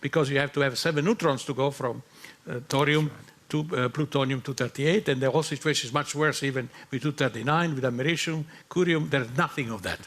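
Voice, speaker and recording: A man on a conference panel giving a halting technical answer, repeating words and using non-native phrasing. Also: medium pitch, a bit bright. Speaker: panelist, physicist. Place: conference hall.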